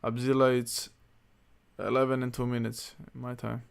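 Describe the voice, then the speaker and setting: A man's voice: medium pitch, monotone, normal speed, vocal fry, clear, resonant tone. A man from Slovenia, chatting casually while livestreaming a basketball video game and reading menus aloud to himself. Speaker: streamer. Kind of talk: casual gaming livestream chat.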